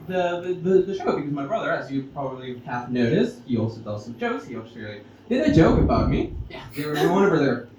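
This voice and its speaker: A Mexican-accented man, native in Spanish, does amateur stand-up in a very echoey, noisy room. His fairly high voice swings up and down as he stops, restarts and plays with the audience. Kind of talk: stand-up comedy with audience interaction. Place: live stage in a roomy venue. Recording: echoey noisy room, distant muffled pickup.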